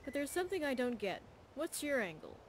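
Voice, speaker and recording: A woman's voice: high-pitched, very animated, brisk, a little hiss or hum. A woman playing a tough, cocky veteran thief in a video game, with a streetwise, blunt and wry manner. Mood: shrewd, probing. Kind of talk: video game character dialogue.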